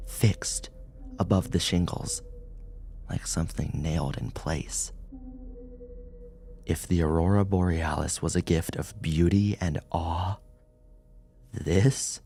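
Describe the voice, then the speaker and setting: A man reading a scripted horror story as an audiobook narrator, in a measured, dramatic way. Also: low-pitched, mellow.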